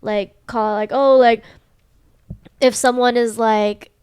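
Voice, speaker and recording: A young woman with a high, smooth voice talks in a loose, rambling way, stringing thoughts together with lots of "like." The sound is close and dry, with no room echo. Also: fairly even intonation, slow, a little mellow. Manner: rambling, heavy use of "like". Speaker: podcast co-host. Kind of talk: casual chat.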